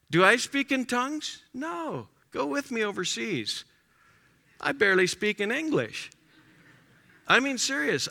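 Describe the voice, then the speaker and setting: Lively, bright-voiced male preacher. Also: high-pitched, long reverb tail. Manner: emphatic, rising to loud exclamations. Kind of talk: sermon.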